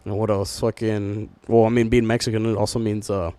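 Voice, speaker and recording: A man's voice: fairly low pitch, level tone, brisk, dark tone, clean tone, no echo. A young man from Southern California chatting casually with friends on a podcast, with slangy, profane speech. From Southern California, United States. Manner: profane, slangy, fond of filler phrases. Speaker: podcast host.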